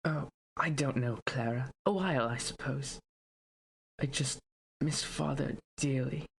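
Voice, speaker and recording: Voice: mid-range voice, unhurried, gravelly, slight background noise. An actor playing an anxious young prince who misses his father, speaking in a hesitant, plaintive, earnest way in an audio drama. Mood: grieving. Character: anxious, grieving young prince, devoted son. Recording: music bed behind the voice.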